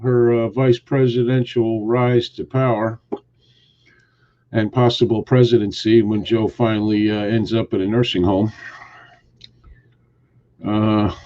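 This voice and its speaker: Man, host, casual chat, joking, teasing, rambling, mellow tone, lo-fi stream audio. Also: on the low side, little pitch movement, a little slow, long pauses.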